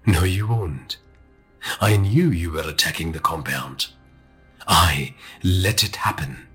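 A man voicing a sadistic male villain in an audiobook, with a silky, husky, slow and taunting menace. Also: low-pitched, fairly bright tone, faint music behind, pitched below the performer's own voice, full character voice. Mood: smug menace. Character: smooth-talking sadistic male villain taunting over a radio.

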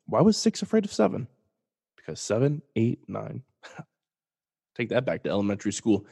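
A young man talks through a solo sports podcast with a congested, slightly clogged nose. He chats loosely, tossing in jokes and quick self-mocking asides. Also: on the low side, sing-song, creaky, warm tone, husky. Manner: casual, jokey, restarts and self-deprecating asides. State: congested, sniffly. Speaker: podcast host.

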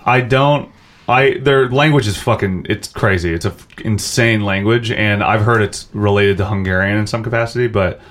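A man's voice: lowish voice, moderate pace, a bit bright. An American man co-hosting a casual chat, talking bluntly with plenty of swearing, sarcastic asides and stumbling restarts. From United States. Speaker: podcast co-host.

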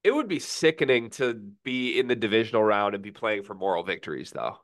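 A man co-hosting a casual sports podcast, sick and feeling rough, with dry deadpan teasing. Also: fairly low pitch, brisk, dry recording. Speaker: podcast co-host.

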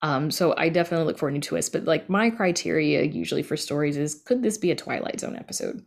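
A woman's voice: sits low, quick pace, creaky. A woman talking casually as an interview guest, conversational and informal, acting out bits of imagined dialogue. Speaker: podcast creator and horror writer being interviewed.

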